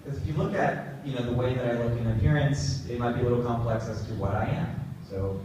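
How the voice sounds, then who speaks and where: A man's voice: medium pitch, steady tone, fast talker, background noise. A young man in his twenties from California, giving a casual talk to middle schoolers with many fillers and restarts. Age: 20s. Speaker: guest speaker. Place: large echoey school room. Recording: echoey room from far off.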